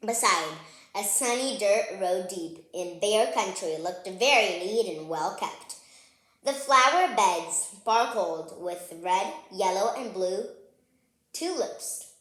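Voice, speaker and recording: A woman reading a children's picture book aloud, playful and expressive, chatting to young listeners between lines. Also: mid-range voice, slow pace. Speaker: read-aloud host. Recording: roomy, echoing sound.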